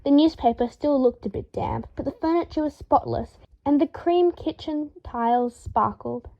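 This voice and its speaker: Female fiction narrator, fairly high register, animated read, slightly muffled home-recorded sound. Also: normal speed, vocal fry. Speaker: podfic narrator. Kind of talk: expressive scripted fiction narration.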